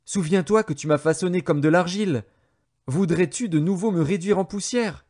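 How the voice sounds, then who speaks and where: Higher-pitched man reading scripture. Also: moderate pace, darkish tone. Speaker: audio Bible narrator.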